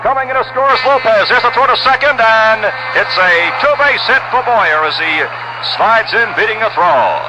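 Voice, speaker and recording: High-pitched American baseball radio announcer, noisy recording. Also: male voice, lively intonation, normal speed, dull audio. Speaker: baseball play-by-play commentator. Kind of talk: 1960s radio sports commentary. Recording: noisy old 1960s radio broadcast recording.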